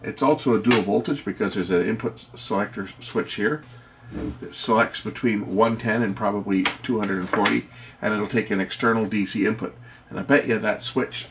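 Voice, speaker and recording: A man's voice: fairly low pitch, background noise. A man giving an unscripted hands-on walkthrough of equipment as host of a repair video, thinking aloud as he goes.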